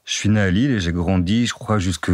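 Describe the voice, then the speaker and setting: A young man from northern France, chatting casually in an interview, relaxed and repetitive with many fillers. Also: low-pitched, fast, no echo, clean studio sound. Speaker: dating-profile guest.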